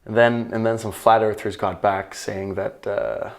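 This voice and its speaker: Danish man, English not his first language, fairly low voice, thoughtful and searching, laughs easily. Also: level tone, unhurried. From Denmark. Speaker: podcast guest, paddler. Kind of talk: casual conversation.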